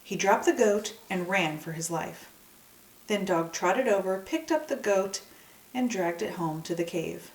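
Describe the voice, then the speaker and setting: A woman storyteller reading a children's folktale aloud in an expressive narrating style. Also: mid-range voice, measured pace. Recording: echoey room, distant microphone.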